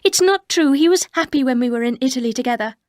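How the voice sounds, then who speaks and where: A woman voicing a timid, earnest young wife who speaks in a raised, higher, pleading voice. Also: very fast, darkish tone, no echo, studio quality, natural voice.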